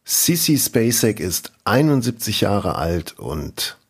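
A man with a low-pitched, somewhat husky voice co-hosts a relaxed podcast chat. He runs a quiz and rambles on between questions, his speech often breaking into laughter. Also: slow. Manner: chatty and rambling, often laughing mid-sentence. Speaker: podcast co-host and quizmaster.

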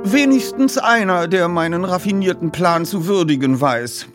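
Guy reading as jumpy cheeky teen boy detective, audiobook, pitch swings up and down. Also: animated, normal speed, over music. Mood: mock dignity. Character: excitable, easily startled teenage boy detective, cheeky.

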